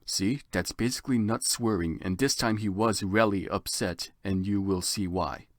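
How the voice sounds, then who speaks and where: A man's voice: lowish voice, normal speed, dry recording, clean studio sound. A man narrating a story theatrically for comedy, with sardonic asides as commentator. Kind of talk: dramatic comic reading with dry asides.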